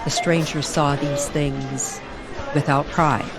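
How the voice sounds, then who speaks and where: A woman's voice: low voice, fairly even intonation, takes it slowly, vocal fry, loud music behind the voice, a loud crowd, low-quality recording. A woman narrating a scripted eerie story in an old-time radio style.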